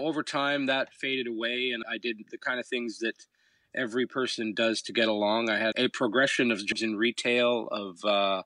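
Low-voiced man telling stories, dry close sound. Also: a bit bright, no room sound. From Canada. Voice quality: fairly low voice. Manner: reflective, storytelling, with hesitant uh's. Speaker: musician guest. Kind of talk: relaxed interview answers.

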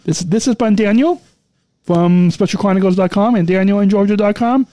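A man's voice: sits high, fairly quick, mellow, no room sound. A man co-hosting a casual podcast, signing off with repeated, stumbling, upbeat plugs. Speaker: podcast co-host.

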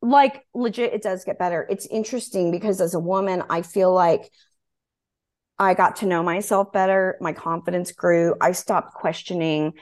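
A woman's voice: on the low side, steady tone, moderate pace, darkish tone. A woman in her forties hosting a podcast in a casual, candid, emphatic and informal way. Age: 40s. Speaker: podcast host. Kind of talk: casual chat.

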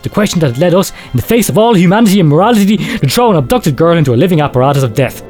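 A man reads a strange tale aloud at a fast clip, his voice a little high for a man. His delivery is theatrical, swelling for dramatic emphasis. Faint music plays underneath. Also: sing-song, a little mellow. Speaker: show host reading a listener letter. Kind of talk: dramatic story reading.

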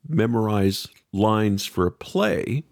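A man's voice: lowish voice, animated, takes it slowly, darkish tone, dry recording, studio quality. A man hosting a faith podcast, delivering introductions and interview questions in a radio style.

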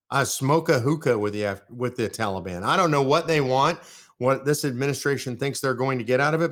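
A man's voice: mid-range voice, a bit bright. Fired-up ranting American man. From California. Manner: heated, ranting, punchy repetition. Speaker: political show host. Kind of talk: live political commentary.

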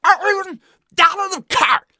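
An exasperated father from a comic family story, acted out by a man. He is quick to anger, with a forceful, sharp voice. His pitch swings widely between weary and furious. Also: big pitch swings, dry recording, clean studio sound. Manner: forceful yelling and weary muttering. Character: exasperated, furious father, easily pushed to yelling.

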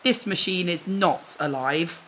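A woman voicing a shady, matter-of-fact alien bear-like repair trader in a sci-fi audio drama, deadpan and clipped. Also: on the low side, a little slow, a little hiss or hum. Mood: sceptical.

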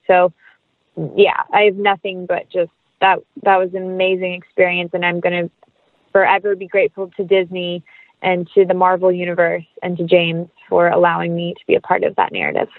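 A woman's voice: lowish voice, flat delivery, a little fast, dry recording, narrow, tinny audio. An American woman speaking effusively and casually as a guest in an interview. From North Carolina, United States. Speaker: guest actress.

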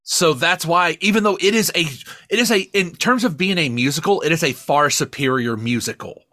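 A man's voice: on the high side, brisk, pushed and bright, dry recording, studio quality. A man co-hosting a podcast, chatting casually and enthusiastically about media, with plenty of swearing. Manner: profane, enthusiastic. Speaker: podcast co-host.

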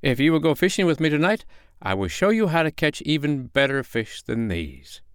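Sly tricky fox, man putting it on, pitched up, sweet-talking, bedtime storybook. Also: very animated, normal speed, smooth, no echo, studio quality. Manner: coaxing, sly.

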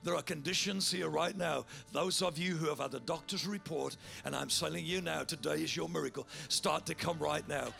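A preacher man with a big, gravelly voice carries his sermon with a bright, forceful tone. He tells his story with energy, then urges his listeners on with growing fervour. Soft music plays beneath him. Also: on the high side, normal speed, hardly any pauses. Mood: fervent. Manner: animated storyteller, building to loud fervent altar call.

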